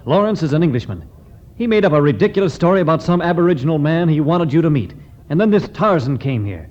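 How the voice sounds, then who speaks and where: On a muffled 1950s radio recording, a suspicious, defensive assistant on a dig. His voice is mellow and rather high. He talks rapidly and emphatically. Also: sing-song. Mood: distrustful. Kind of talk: 1950s radio adventure acting.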